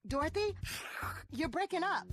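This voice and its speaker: Radio ad skit: actress as surprised, playful woman taking a friend's call, lively high voice. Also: moderate pace, full character voice. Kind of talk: radio ad comedy dialogue.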